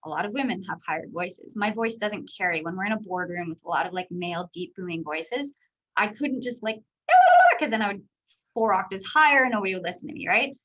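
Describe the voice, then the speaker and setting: A woman in her thirties from Canada with a high voice, answering interview questions as a guest speaker in a candid, chatty way full of fillers. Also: sing-song, brisk, a bit bright, poor audio. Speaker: guest speaker, company executive.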